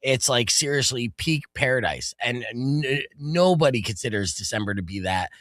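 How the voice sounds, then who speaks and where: A man's voice: mid-range voice, lively intonation, moderate pace, a bit bright, no room sound. A man hosting a podcast in a studio, chatting casually and joking in a rambling way, with lots of fillers and self-corrections. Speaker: podcast host.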